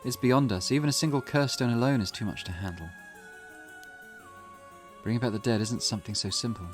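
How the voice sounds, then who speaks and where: A man's voice: mid-range voice, close to an everyday voice. Man playing a level-headed, cautious teenage schoolgirl. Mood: earnest, protective. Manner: measured, earnest explaining. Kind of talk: character voice reading a visual novel. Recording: music in the background.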